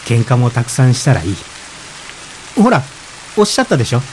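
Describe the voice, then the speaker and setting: An actor playing a polite, gentle, self-effacing expectant father in reflective radio drama dialogue. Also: medium pitch, very animated, brisk, a little hiss or hum, close to an everyday voice, poor audio. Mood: gently reflective. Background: rain.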